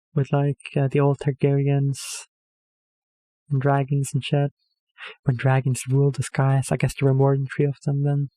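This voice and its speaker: Mellow-voiced man rambling. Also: mid-range voice, dry recording, poor audio. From Norway. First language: Norwegian. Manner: hesitant, rambling, self-correcting with many fillers. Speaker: reaction video host. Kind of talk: casual rambling commentary.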